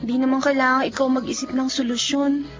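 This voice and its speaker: Woman playing resilient twenty-year-old Filipina daughter, high voice, gently firm, TV drama, slightly dull sound. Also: little pitch movement, a little fast, close to an everyday voice. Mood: resigned. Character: selfless, resilient young working daughter who gave up studies. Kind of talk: Filipino television drama acting. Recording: dull audio under music.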